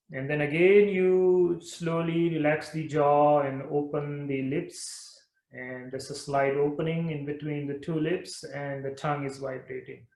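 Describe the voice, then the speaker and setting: A man leading a guided mantra meditation, talking slowly and repeating phrases in a soothing way. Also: a little high, a little mellow, roomy sound, poor audio. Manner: slow, repetitive, soothing guidance. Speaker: meditation teacher.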